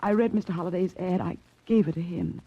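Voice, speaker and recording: Worried loving mom in old 40s radio play, husky, emotional, muffled sound. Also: female voice, on the low side, fairly quick, mellow. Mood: earnest explaining. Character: anxious, devoted widowed young mother. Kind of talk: 1940s radio drama acting.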